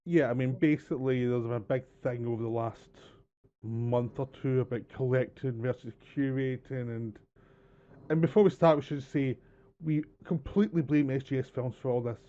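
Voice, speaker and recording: A man's voice: medium pitch, moderate pace, mellow. A man with a Scottish accent co-hosting a podcast, joking around and laughing through his lines. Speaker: podcast co-host. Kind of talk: casual podcast chat. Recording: muffled lo-fi audio with reverb.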